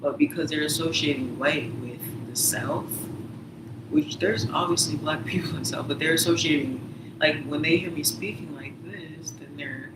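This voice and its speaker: A man's voice: a little high, normal speed. A man telling a story in casual chat, rambling with many fillers and sometimes dropping to a whisper. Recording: roomy, distant mic, noisy background.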